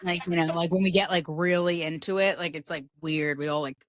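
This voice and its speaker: Deep-voiced woman rambling in casual chat. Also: fairly even intonation, a little fast, slight background noise. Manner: rambling, heavy use of "like" fillers. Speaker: podcast guest.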